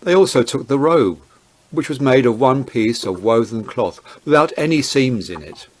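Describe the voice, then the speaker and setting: A man narrating a dramatized Bible reading in a measured, deliberate way. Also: mid-range voice, animated.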